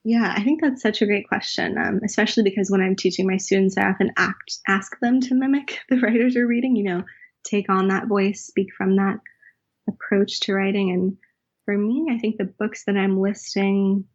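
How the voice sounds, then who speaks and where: A woman's voice: medium pitch, steady tone, moderate pace, highs cut off. A woman, a writer and professor, talking reflectively in an interview, often correcting herself mid-sentence. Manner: thoughtful, self-correcting, with soft laughs.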